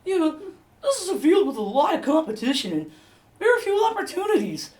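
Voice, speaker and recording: A woman's voice: high voice, very animated, fairly quick, darkish tone, distant mic. A woman playing an aggrieved, gossipy anonymous actor who insinuates about colleagues in a comedy mock interview. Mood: snippy, aggrieved. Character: anonymous gossipy insider wolf-role actor, snippy and aggrieved.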